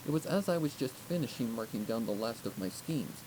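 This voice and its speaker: Man narrating fiction over clear noise. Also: medium pitch, lively intonation, brisk, darkish tone. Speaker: audiobook narrator. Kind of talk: first-person fiction narration. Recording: clearly noisy recording.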